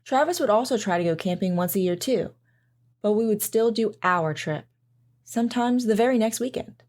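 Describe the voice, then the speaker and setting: A woman's voice: mid-range voice, lively intonation, normal speed, a little mellow, close to an everyday voice. A woman playing a loving mother in an audio drama, speaking in a reflective, sentimental way. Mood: wistful, tender. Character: loving, wistful mother recalling camping with her daughter. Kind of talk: audio drama narration and dialogue.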